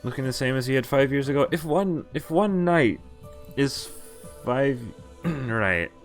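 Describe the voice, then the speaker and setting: A man streaming a visual novel, reading the text aloud and breaking off haltingly into muttered casual commentary. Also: medium pitch, expressive, soft background music. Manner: halting, often pausing to mutter asides. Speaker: game streamer.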